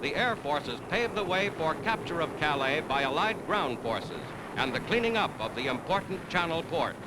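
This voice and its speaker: A man's voice: on the high side, big pitch swings, normal speed, very noisy. A man delivering scripted 1940s wartime newsreel narration as an urgent, dramatic announcer. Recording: vintage 1940s newsreel soundtrack.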